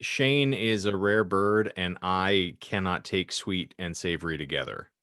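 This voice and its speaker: A man's voice: deep voice, measured pace, a bit bright, no room sound, studio quality. A man co-hosting a casual panel podcast, talking in wordy, florid turns of phrase. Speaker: podcast co-host.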